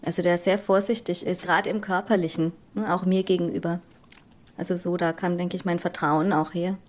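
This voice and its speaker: A woman speaks thoughtfully and candidly, weighing her words as she explains. It is a mid-pitched, natural voice in a documentary interview. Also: steady tone, brisk. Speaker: interviewee, mother.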